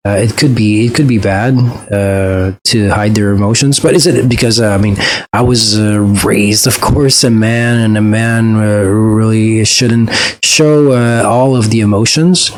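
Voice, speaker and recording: A man with a French accent hosts a reaction video in a slow, thoughtful monologue. He speaks in a somewhat low voice and pauses often with fillers and restarts. His delivery stays casual and reflective. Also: fairly even intonation, slight background noise. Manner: hesitant, many fillers and restarts.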